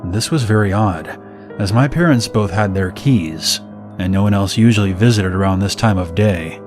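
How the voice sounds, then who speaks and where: A man's voice: fairly low pitch, normal speed, music in the background. A man reading a scripted first-person scary story as a narrator.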